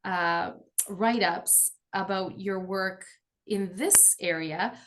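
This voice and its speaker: A woman's voice: medium pitch, slow, fairly bright tone, a little hiss or hum. A woman hosting a podcast, introducing her guest and asking questions. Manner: scripted introduction, then conversational. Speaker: podcast host. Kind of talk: podcast interview.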